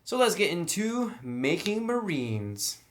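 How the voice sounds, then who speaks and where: A man's voice: high-pitched, very animated, slow, a bit bright. A man talking through a casual, explanatory review in a conversational way, with dry, wry asides. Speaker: tabletop game book reviewer.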